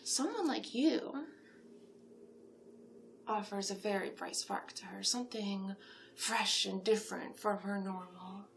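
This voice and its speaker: A woman plays a female monster matchmaker, chatty and encouraging, confiding in a client as part of an ASMR roleplay. The delivery is unhurried and gushing, with plenty of creaky fry. Faint noise sits behind the voice. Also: medium pitch. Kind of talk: horror roleplay ASMR, one-sided conversation.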